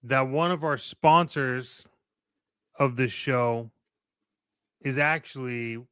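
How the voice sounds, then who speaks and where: Clear-voiced American man, casual podcast co-host. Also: mid-range voice, slow pace, a bit bright, no echo, clean studio sound.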